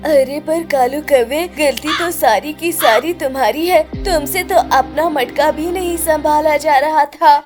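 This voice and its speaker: A woman as an excitable, high-pitched male cartoon bird. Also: a little slow, hardly any pauses, music in the background. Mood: concerned. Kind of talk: Hindi children's cartoon dubbing.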